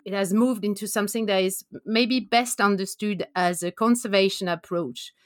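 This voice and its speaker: A woman's voice: medium pitch, moderate pace, no room sound, clean studio sound. A woman from France with a French accent, a conservation biologist being interviewed, speaking thoughtfully with frequent ums and uhs. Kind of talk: podcast interview.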